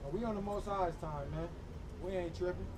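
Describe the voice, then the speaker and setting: A man's voice: high-pitched, a little fast. A man street preacher debating in an insistent, instructive way, often repeating his points, recorded from a distance outdoors. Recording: distant mic, faint outdoor street noise.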